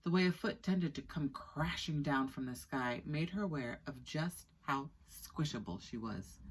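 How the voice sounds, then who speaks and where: A woman in her thirties reading a children's book aloud expressively, with deliberate storytelling pauses. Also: a bass voice, a little hiss or hum, poor audio. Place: small indoor room with skylight.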